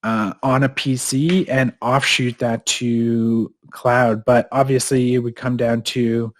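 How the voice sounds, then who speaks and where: A man's voice: medium pitch, little pitch movement, slow. A man co-hosting a podcast in casual chat, a little stuffed up in his nose, rambling with fillers and restarts. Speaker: podcast co-host.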